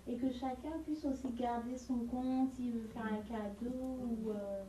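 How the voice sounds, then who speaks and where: Woman, group participant, hesitant polite question, slightly high voice, distant in a roomy hall. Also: steady tone, a little slow, warm tone. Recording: echoey room, from a distance, noise.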